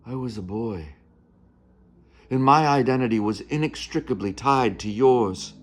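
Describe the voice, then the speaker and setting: Slow, theatrical man as a young stage actor. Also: medium pitch, lightly acted. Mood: solemn, wistful. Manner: theatrical, measured, with weighty pauses. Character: earnest young 19th-century touring actor recounting his youth. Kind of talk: dramatic first-person monologue.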